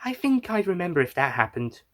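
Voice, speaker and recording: Guy voicing a blunt flirty alien lady in a story, bit wistful, bright voice. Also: high-pitched, sing-song, a little fast, pitched above the performer's own voice. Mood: wistful, fond.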